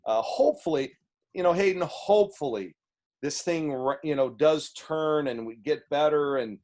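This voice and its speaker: A man with a booming voice answering press questions as a head coach, earnest and halting with restarts, on a video call. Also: expressive, darkish tone.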